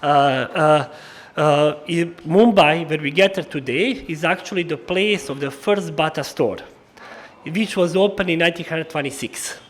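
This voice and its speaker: A man with a Slavic accent delivers a formal historical lecture. His voice sits somewhat higher than average for a man. He speaks haltingly, often stumbling and repeating words as he searches for the next phrase. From former Yugoslavia. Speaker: historian giving an annual lecture.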